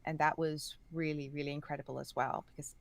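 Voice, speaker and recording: A woman with an Australian accent, a guest chatting enthusiastically in an interview and sometimes pausing to search for words. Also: low-pitched, little pitch movement, creaky. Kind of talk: casual interview chat.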